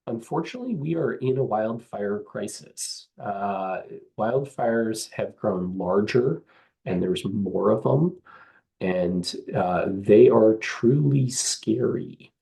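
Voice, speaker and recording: A hoarse man giving a webinar talk as staff of a trail association, earnest, with frequent ums and uhs. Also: lowish voice, warm tone. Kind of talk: webinar presentation. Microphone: video call.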